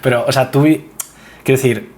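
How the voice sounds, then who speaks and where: A man's voice: mid-range voice, a little fast. A man with an accent from Spain, interviewing a guest in casual, slangy, bantering podcast talk. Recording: clear steady background noise.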